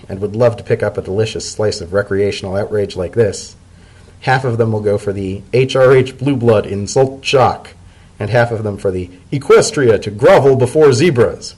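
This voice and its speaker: Man voicing brisk, wry pony press secretary Spinning. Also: lowish voice, darkish tone, husky, poor audio. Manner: brisk, exasperated, incredulous. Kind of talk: dramatic story reading.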